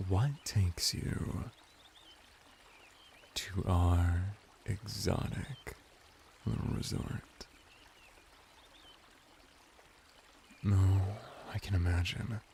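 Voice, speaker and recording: A man plays a flirty merman attendant in a soothing ASMR bathhouse roleplay. His voice is dark and mellow with plenty of creaky fry. He teases gently and defers like a devoted servant, over faint watery background noise. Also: deep voice, steady tone, heavily acted. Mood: curious, teasing. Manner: unhurried, teasing, soothing, deferential.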